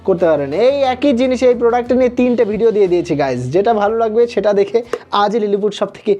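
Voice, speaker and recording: A woman's voice: medium pitch, very fast, warm tone, soft background music. A woman with a Bangladeshi accent presenting a shop product in a casual demo style.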